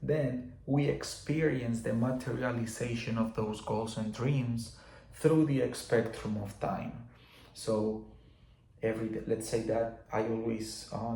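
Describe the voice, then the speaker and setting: Man with a gravelly voice. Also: mid-range voice, dark tone, echoey room. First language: Spanish. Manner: loose and repetitive, many fillers and restarts. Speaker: teacher of spiritual laws. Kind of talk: casual explanatory talk.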